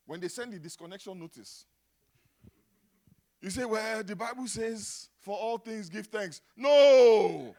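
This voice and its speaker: A middle-aged Nigerian man preaching a sermon, dropping to a hush and then rising to loud exclamations. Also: very high-pitched. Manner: dramatic, swings from hushed to shouting. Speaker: pastor.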